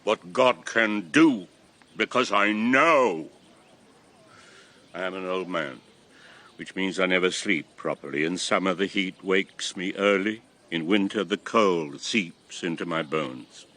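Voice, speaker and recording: A man's voice: sits low, slow pace, bright, forceful tone, heavily acted. An actor playing an old former king and grandfather, a solemn, impassioned convert to a god, in a classical radio drama. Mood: weary, earnest. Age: old, past retirement. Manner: solemn, impassioned, theatrical delivery.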